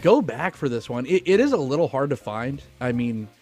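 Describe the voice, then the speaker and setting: A man's voice: mid-range voice, very animated, fairly quick, darkish tone. A man co-hosting a film podcast, talking casually in an informal, slangy way.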